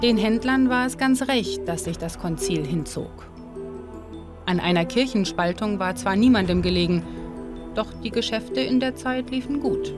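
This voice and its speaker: Woman doing documentary voice-over, calm and measured, music playing under it. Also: mid-range voice. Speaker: documentary narrator. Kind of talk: scripted documentary narration.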